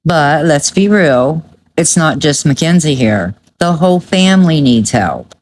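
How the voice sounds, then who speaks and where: A woman's voice: sits low, lively intonation, unhurried, darkish tone, low-quality recording. A woman delivering conversational true crime commentary in a blunt, emphatic and judgmental way.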